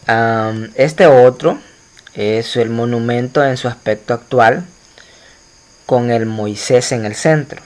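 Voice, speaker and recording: A man's voice: medium pitch, slow, a little mellow. Casual man giving a slide lecture. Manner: explanatory, many fillers and restarts, addresses students directly. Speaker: teacher.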